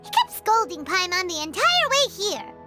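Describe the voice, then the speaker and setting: A woman voicing a tiny, excitable, childlike fairy companion in a video game, squeaky and piercing, speaking of herself in the third person. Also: moderate pace. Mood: grumbling. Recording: background music under dialogue.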